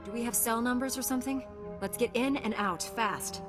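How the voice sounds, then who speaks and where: A woman voicing a composed, principled young Jedi knight in a video game, speaking in a measured, formal way. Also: a little high, music in the background, natural voice. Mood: determined.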